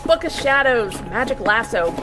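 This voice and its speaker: A woman acts a high-pitched, headstrong girl adventurer over loud music. She is colloquial, impatient and sarcastic, in the style of a fantasy audio drama. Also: lively intonation, moderate pace, lightly acted. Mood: impatient, searching. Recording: loud music and effects underneath.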